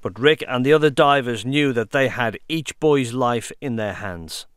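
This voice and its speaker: A man's voice: medium pitch, moderate pace, fairly bright tone, clear, resonant tone, no room sound. British male news reporter, dry voice-over.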